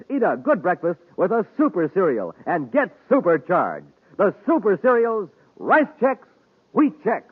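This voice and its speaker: American male announcer, fifties radio style: high-pitched, swooping, dramatic narration and enthusiastic pitches. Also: husky, phone-quality sound. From United States. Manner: dramatic, hard-sell enthusiasm. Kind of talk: 1950s radio announcing, scripted narration and ads. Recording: thin, hissy 1950s radio recording.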